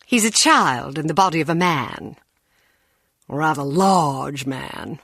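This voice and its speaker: An actress playing a haughty, coolly cruel aristocratic villainess with a condescending, theatrical drawl in a video game. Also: female voice, low-pitched, lively intonation, slow, creaky, fairly bright tone, dry recording, studio quality, exaggerated voice. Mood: smug.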